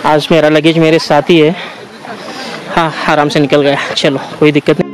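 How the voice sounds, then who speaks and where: A man, casually narrating a travel vlog as he walks, pointing things out to viewers in an unhurried way. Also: a little high, little pitch movement, soft background music, a faint crowd. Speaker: travel vlogger. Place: outdoors on a forested trail by a stream and waterfall. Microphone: handheld camera at arm's length. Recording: noisy outdoors with rushing water.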